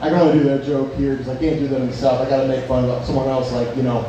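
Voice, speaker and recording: A young man doing crude, rambling stand-up, restarting jokes and mocking himself as he chats with the crowd. His voice sits at an ordinary pitch. It comes from a distance in an echoey bar, with steady noise all around. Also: very fast, muffled recording. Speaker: stand-up comedian. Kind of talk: open-mic stand-up set with crowd work. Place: bar open mic. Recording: echoey bar from far off, noisy.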